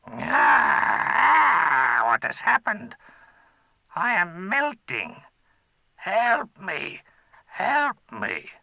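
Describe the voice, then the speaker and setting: A man voicing a cruel, cunning dragon in a storybook reading, with a gravelly, mocking manner. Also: on the low side, big pitch swings, takes it slowly, pushed and bright, dry recording, dull audio, full character voice. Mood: panicked. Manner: mocking, gloating, wailing when scared.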